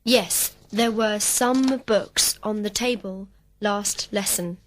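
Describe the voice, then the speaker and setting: Female student reading scripted answers, mid pitch, very slow pace. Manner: slow, complete textbook sentences. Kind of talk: scripted full-sentence answers.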